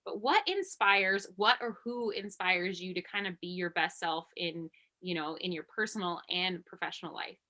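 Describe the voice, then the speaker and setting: A woman's voice: lowish voice, moderate pace, few pauses, bright, forceful tone. A woman hosting a podcast interview, enthusiastic and encouraging as she asks her questions. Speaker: podcast host. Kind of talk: casual interview chat.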